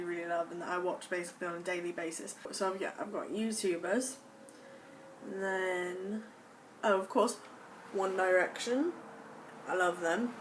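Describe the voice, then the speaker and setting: A young American woman vlogging casually to camera in a put-on British accent, gushing with enthusiasm about things she loves. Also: medium pitch. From United States. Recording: voice a bit distant from the mic, faint background noise.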